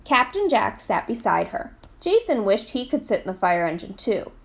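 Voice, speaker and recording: A woman reading a children's picture book aloud in an expressive storytime style. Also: medium pitch, moderate pace, gravelly. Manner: expressive read-aloud delivery. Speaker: storytime reader, literacy program manager.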